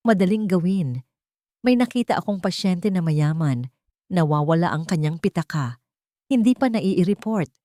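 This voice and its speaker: A woman's voice: fairly low pitch, expressive, moderate pace, dark tone, no room sound, close to an everyday voice. A hesitant, guilt-ridden male doctor, read by a woman narrator in an audio story. The delivery is uneasy and resigned, with a dry, close sound. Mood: resigned, plotting. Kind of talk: dramatic audio storybook acting.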